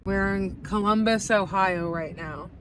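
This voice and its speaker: A woman's voice: mid-range voice, unhurried, fairly bright tone, slight background noise. A young woman from the US East Coast, narrating a casual travel vlog in a chatty, upbeat way with lots of "like". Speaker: vlogger. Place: inside a car on a road trip.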